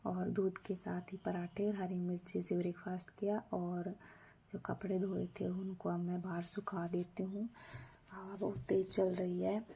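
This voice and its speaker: A woman with a sore throat narrates her home routine in a husky, breathy half-whisper. Her voice has a gravelly edge and a mellow, dark tone. She barely moves her pitch and keeps it calm and everyday. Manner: flat, unhurried, homely narration. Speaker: vlogger. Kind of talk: daily routine voice-over.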